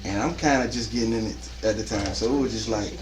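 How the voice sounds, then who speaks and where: A man hosting a casual barbershop podcast, talking in animated, profane slang and hyping up his co-workers. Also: on the low side, quick pace, reverberant, background noise. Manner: animated, profane, stammers and hypes others.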